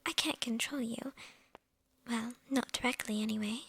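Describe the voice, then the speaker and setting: A woman playing a lonely, possessive witch in an ASMR roleplay, whispering in a breathy, creaky voice with sly, coy amusement. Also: on the high side, fairly even intonation, fairly bright tone, full character voice. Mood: possessive, teasing. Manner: unhurried, coy, amused, addressing the listener intimately. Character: lonely, possessive young witch, slyly menacing and teasing.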